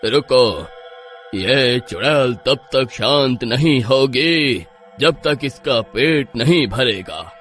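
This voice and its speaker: A man's voice: mid-range voice, lively intonation, slow, a bit bright, faint music behind, pitched below the performer's own voice. A man playing a solemn, commanding village priest in a horror story. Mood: solemn warning. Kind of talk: horror story character acting.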